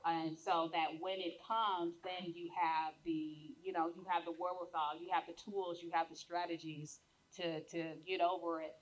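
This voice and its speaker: A woman on a live panel speaking in a measured, encouraging coaching manner. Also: fairly low pitch, level tone, low-quality recording. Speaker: panelist and podcast host.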